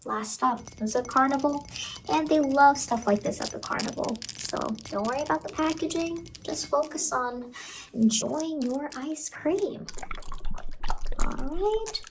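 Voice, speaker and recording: Creepy female ice cream clown woman performer, high and soft, cajoling, falsely sweet reassurance; close-miked horror ASMR, noisy background. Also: measured pace. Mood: falsely reassuring. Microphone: close ASMR microphone.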